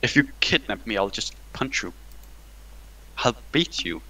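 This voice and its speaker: A man with a low but bright, edgy voice chats over a game on his mic. He is blunt and teasing and laughs easily, with a forward, sharp tone. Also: lively intonation, unhurried, vocal fry, slight background noise, low-quality recording. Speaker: gaming channel co-host. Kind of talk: casual chat while playing.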